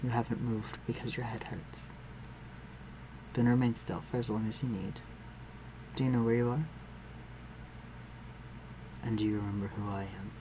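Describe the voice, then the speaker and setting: Man playing a low-voiced aristocratic vampire master. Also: fairly even intonation, noisy background, close to an everyday voice. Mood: calm, commanding. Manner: formal, measured, condescending yet solicitous. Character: aristocratic male vampire master, civil, protective, coolly superior. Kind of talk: scripted audio roleplay addressed to the listener.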